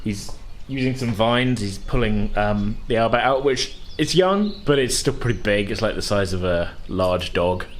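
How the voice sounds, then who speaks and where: Lively British man running a tabletop game. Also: on the low side, moderate pace, hardly any pauses. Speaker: game master. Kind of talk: casual tabletop game narration. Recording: clear background noise.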